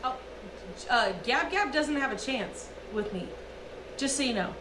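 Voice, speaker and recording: High sharp woman streamer going off, swearing a lot, echoey room. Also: lively intonation, unhurried, background noise. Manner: profane, emphatic ranting. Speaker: livestreamer. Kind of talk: heated livestream rant.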